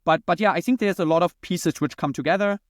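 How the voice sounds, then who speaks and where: Higher-voiced man with a German accent. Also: fast talker, no room sound, clean studio sound. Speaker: podcast guest, company founder and CEO. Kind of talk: conversational interview answers.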